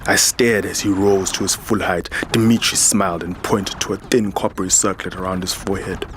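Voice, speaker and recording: A man's voice: deep voice, fairly even intonation, a little fast, background noise, natural voice. A man playing a weary, defiant soldier, narrating a science fiction audio drama in a grave, dramatic manner. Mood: tense narration. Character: weary, defiant male human soldier narrating his ordeal. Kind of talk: first-person scripted audio drama narration.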